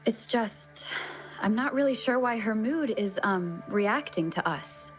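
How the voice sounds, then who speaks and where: A woman playing a calm, thoughtful young adventurer in an anime game, explaining things in a measured way. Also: fairly high pitch, soft background music. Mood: puzzled.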